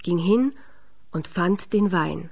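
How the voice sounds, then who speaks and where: A woman's voice: lowish voice, slow, creaky, darkish tone. A woman storyteller reading a scripted fairy tale narration.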